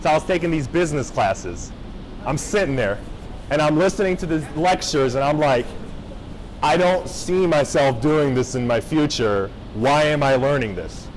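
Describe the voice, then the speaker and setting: A young man from Japan giving a casual motivational talk to high school students, often checking in with the crowd. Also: animated, normal speed, slight background noise, rough recording. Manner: casual, engaging, checks in with the audience. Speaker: motivational speaker. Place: high school gathering space.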